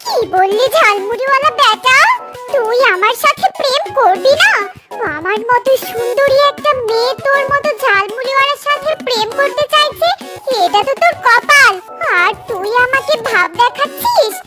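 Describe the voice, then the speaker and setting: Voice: very high-pitched, animated, normal speed. A performer voicing a bossy, hot-tempered female fox in a cartoon, scolding and demanding melodramatically. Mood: pushy, indignant. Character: bossy, hot-tempered vixen, a scolding wife and pushy suitor. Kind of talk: exaggerated cartoon dialogue. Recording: loud music behind the voice. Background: loud children's cartoon music.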